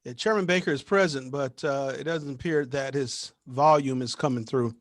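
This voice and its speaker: A man's voice: medium pitch, moderate pace, dry recording. A man serving as clerk in a virtual meeting, speaking in formal procedural style.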